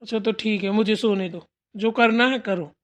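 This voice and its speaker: A woman's voice: medium pitch, normal speed, close to an everyday voice. A woman voicing a nagging, grumpy young husband in a family cartoon, bickering in a casual, curt way. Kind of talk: amateur Hindi family cartoon dialogue.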